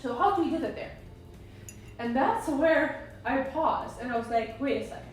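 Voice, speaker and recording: A woman, raspy from singing, chatting casually and emphatically to camera as a psychologist vlogger. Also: sits high, animated, moderate pace, far from the mic, soft background music. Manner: chatty, emphatic, many asides. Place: indoors at home, sunny room. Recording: very echoey room, mic set back.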